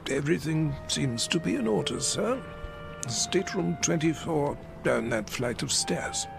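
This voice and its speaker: An actor playing a polite, hesitant ship's steward, halting and formal in a radio drama. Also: male voice, on the high side, animated, creaky, music in the background, exaggerated voice. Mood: polite, apologetic.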